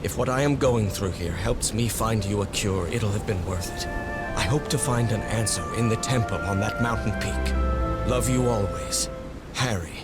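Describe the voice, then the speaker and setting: Devoted, worn-down 1930s husband on expedition, played by a man. Fairly deep, somber game letter voice-over, formal phrasing, heavy music underneath. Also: close to an everyday voice. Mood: somber, tender. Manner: somber, measured, literary. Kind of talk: dramatic letter reading in video game. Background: loud music.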